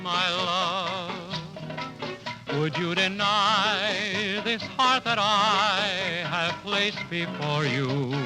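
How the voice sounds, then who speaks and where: A man's voice: high-pitched, rough recording. A man singing a romantic ballad on an old radio broadcast. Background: orchestral music.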